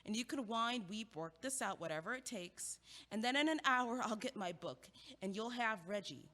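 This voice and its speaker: An actress playing a sharp-tongued, impatient young university student who argues with quick, sarcastic wit in an audio drama. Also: female voice, a little high, animated, in a large hall. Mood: calm. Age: young adult. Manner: quick-witted, sarcastic, argumentative.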